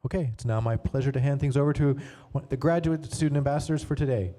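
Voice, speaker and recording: A man hosting a university panel event, giving a measured, formal welcome. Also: medium pitch, warm tone. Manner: measured, announcing. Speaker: event host.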